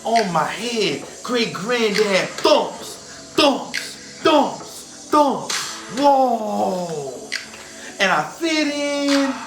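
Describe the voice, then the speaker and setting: A man hosting a children's storytime in a hyped, sing-song, call-and-response way, reading rhythmically to a beat. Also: extremely high pitch. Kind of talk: rhythmic read-aloud over hip hop instrumentals. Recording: roomy echo with music. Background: hip hop instrumental music.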